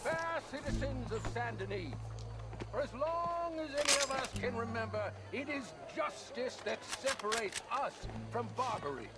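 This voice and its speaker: A pompous old-west official proclaiming a sentence. His high, raised voice delivers formal, solemn oratory very slowly, over music, as in a cinematic game. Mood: solemn, grandiose. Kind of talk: cinematic western video game acting. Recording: music behind the voice.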